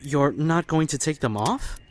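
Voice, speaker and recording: A woman's voice: sits low, expressive, measured pace, darkish tone. Earnest, hesitant young boy survivor played by a woman. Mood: caring. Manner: hesitant, solicitous. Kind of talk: audio drama acting. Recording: slightly noisy amateur recording with ambience.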